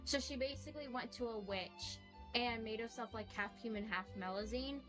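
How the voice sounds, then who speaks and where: A woman's voice: on the high side, moderate pace, over music. A woman streaming a game with casual, rambling commentary, often saying "like". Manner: rambling, peppers talk with "like".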